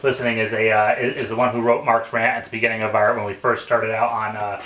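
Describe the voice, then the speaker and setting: A man hosts a relaxed, conversational interview in a bright, forward voice. He sounds slightly away from the mic in a very echoey room, with some faint noise behind him. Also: lowish voice, quick pace, distant mic. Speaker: podcast host interviewing firefighters. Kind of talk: casual conversation. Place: indoor workshop.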